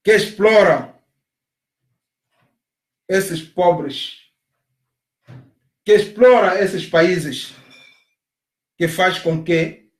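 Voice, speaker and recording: A man from Africa speaks in an impassioned, emphatic monologue. His voice sits fairly high and moves slowly, leaning hard on repeated phrases. The room around him rings with echo. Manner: emphatic, repeats phrases, rising to loud stresses. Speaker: commentator on migration.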